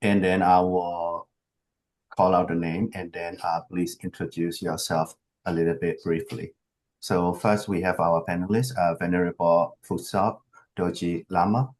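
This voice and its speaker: A man's voice: sits low, flat delivery, measured pace, darkish tone. A man moderating an online panel, calling on speakers briefly and plainly. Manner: brief and procedural. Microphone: video call.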